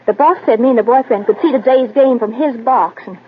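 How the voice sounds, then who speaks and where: An actress playing a brash, slangy, wisecracking office secretary in 1940s radio style. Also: female voice, a little high, brisk, natural voice. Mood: confiding. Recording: muffled, slightly noisy old radio.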